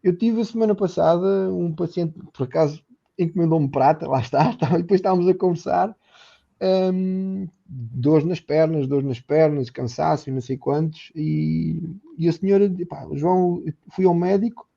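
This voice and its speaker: Portuguese-accented male therapist guest, slightly high and gravelly, earnest and explanatory. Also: steady tone, normal speed, dark tone, highs cut off. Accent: European Portuguese. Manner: earnest, explanatory, repeats words while thinking. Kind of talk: conversational podcast interview.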